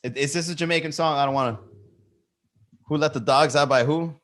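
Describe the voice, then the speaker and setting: Bright, animated male comedy host. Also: fairly high pitch, fairly quick. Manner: animated, swearing, rhetorical questions. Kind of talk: comedic riffing and lyric reading.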